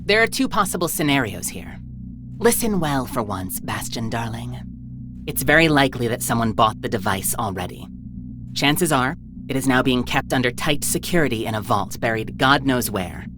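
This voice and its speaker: A woman's voice: very deep voice, expressive, normal speed. An actress playing a smug, imperious female crime boss in a visual novel game, talking down to people with languid endearments. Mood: commanding, lecturing. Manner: condescending, purring endearments. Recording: music behind the voice. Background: game music.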